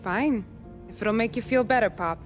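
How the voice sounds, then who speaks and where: An actress playing a tough, teasing young American barkeep's daughter in a period game, sassy and dry. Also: female voice, a little high, normal speed, soft background music, close to an everyday voice. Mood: resigned. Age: young adult. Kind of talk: dramatic video game acting.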